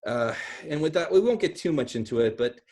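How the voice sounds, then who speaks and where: A man's voice: fairly high pitch, expressive, brisk, darkish tone. A middle-aged man giving a conversational online talk from a room at home, rambling into asides. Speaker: guest speaker. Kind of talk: conversational online talk on film and pop culture. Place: small room at home, indoors. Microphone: video call, computer at arm's length.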